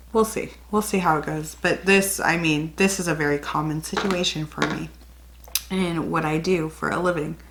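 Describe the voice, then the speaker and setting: Chatty young woman with a slight cold. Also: mid-range voice. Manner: chatty, rambling, self-correcting. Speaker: beauty vlogger. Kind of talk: casual makeup tutorial chat.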